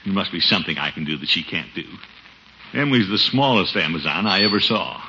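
A pompous, blustering radio-comedy uncle. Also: male voice, sits low, lively intonation, fairly quick, pushed and bright, heavily acted. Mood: indignant. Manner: pompous, theatrical. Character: blustering, needy uncle eager to win back his nephew. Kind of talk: 1950s radio comedy acting. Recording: dull, hissy 1950s radio recording.

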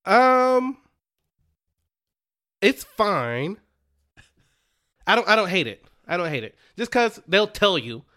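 A man's voice: high voice, very animated, slow, long pauses, sharp tone. A man co-hosting a podcast, chatting casually about sports, laughing often and restarting his sentences. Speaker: podcast co-host.

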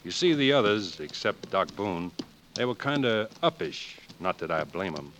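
An actor playing a shy, courteous young American cowboy outlaw in a 1940s radio western, speaking haltingly. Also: male voice, low-pitched, big pitch swings. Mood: bashful, courteous. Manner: halting, soft-spoken courtesy. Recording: hissy vintage 1940s radio recording.

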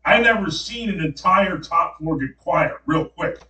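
A man, co-hosting a podcast and telling stories in a lively way, acting out what people said. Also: high-pitched, a little slow. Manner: animated storyteller acting out quotes. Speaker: podcast co-host. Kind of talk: casual storytelling chat. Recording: roomy, slightly distant mic.

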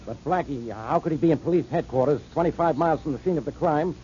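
A man's voice: a little high, fast, warm tone, natural voice. A man playing a blustering police inspector. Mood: indignant. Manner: indignant, blustering. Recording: dull old radio broadcast with hiss.

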